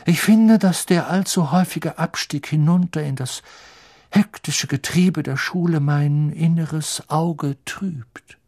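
A man voicing a thin, theatrical female fortune-telling teacher with a slow, portentous, airy and ominous manner in an audiobook. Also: deep voice, a little mellow, no room sound, clean studio sound, pitched above the performer's own voice. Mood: mystical, grave. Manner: slow, drawn-out, portentous delivery.

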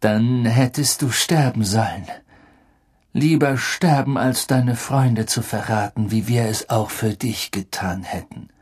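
A man's voice: medium pitch, unhurried, husky. A man reading an audiobook, voicing a grim, bitter man confronting a traitor, in a hard, accusing tone. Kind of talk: audiobook character voice.

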